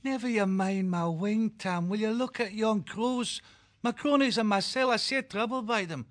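A man's voice: high-pitched, moderate pace, fairly bright tone, pitched above the performer's own voice. A man voicing a bold young male falcon with a Scottish accent and a brash, boastful manner.

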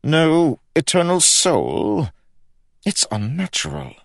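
A man's voice: fairly low pitch, wildly swooping pitch, takes it slowly, no room sound, clean studio sound, voice pushed up, exaggerated voice. A man voicing a pompous, pious king in an audiobook, questioning in a scornful, imperious way. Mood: indignant. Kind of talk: audiobook character acting.

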